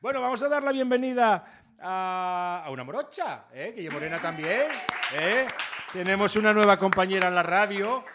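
A man hosting a casual radio interview, chatty and joking as he fires quick follow-up questions. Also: high voice, animated, a crowd in the background, background noise. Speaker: radio host.

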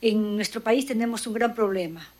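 A woman's voice: on the high side, normal speed, a little mellow. A woman chairing a session, putting formal questions with occasional fillers.

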